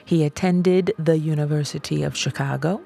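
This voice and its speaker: A woman reading a self-help audiobook in a measured, emphatic storytelling manner. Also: deep voice, steady tone, gravelly, mellow, faint music behind. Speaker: audiobook reader. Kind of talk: scripted narration of a self-help book.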